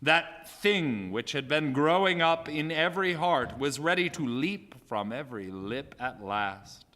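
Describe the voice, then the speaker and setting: A grave storyteller in a stage radio drama. His bright voice swings widely in pitch and carries through a long hall reverb. The delivery is measured and theatrical. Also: expressive. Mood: grave, ominous. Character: grave male storyteller recounting a snowbound train disaster. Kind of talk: theatrical scripted narration, live radio drama.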